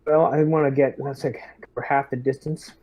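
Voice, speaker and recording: A man playing a tabletop roleplaying game, talking through tactics casually in a measured, halting way. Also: mid-range voice, steady tone. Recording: dull lo-fi voice-chat audio.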